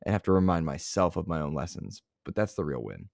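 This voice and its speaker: A man's voice: low voice, expressive, fast talker, gravelly, a little mellow, dry recording, studio quality. A man hosting a gaming podcast, earnest and reflective, whose voice is getting worn. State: losing his voice. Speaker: podcast host. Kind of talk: casual podcast chat.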